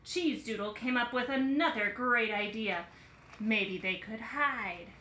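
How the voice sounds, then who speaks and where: A woman in her thirties reading a picture book aloud to young children in an expressive, exaggerated storytelling way, recorded on a phone in a room at home. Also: fairly high pitch, takes it slowly, echoey room, a little hiss or hum.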